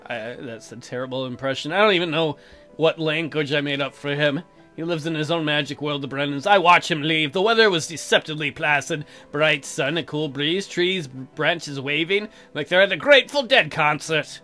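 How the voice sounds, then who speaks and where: An irreverent gamer reading a visual novel aloud with crude, animated joking commentary. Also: medium pitch, normal speed, soft background music. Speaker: let's player. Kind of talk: joking playthrough commentary and dramatic read-aloud.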